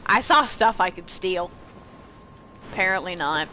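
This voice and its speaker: A woman's voice: lowish voice, lively intonation, measured pace, sharp tone, a little hiss or hum, low-quality recording. A woman gaming streamer giving casual, offhand commentary with blunt, sarcastic asides.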